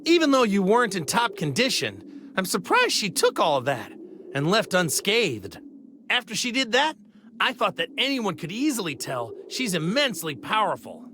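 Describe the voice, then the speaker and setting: A man's voice: sits high, wildly swooping pitch, moderate pace, sharp tone, slight background noise, full character voice. A man voicing an earnest, straightforward martial-arts hero in a video game, plain-spoken and eager. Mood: serious. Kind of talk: video game cutscene acting. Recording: music under the voice.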